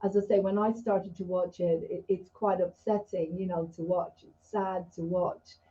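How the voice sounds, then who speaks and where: A woman's voice: fairly low pitch, level tone, normal speed, dark tone. A woman raised in Israel hosting an interview over a video call, talking in an emphatic, rambling, heartfelt way. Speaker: host. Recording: dull lo-fi video call audio.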